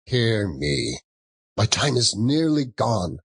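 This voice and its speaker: A man voicing a mournful old male ghost in a storybook reading, wailing solemn, drawn-out warnings. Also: on the low side, very animated, husky, heavily acted. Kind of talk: dramatic audiobook reading.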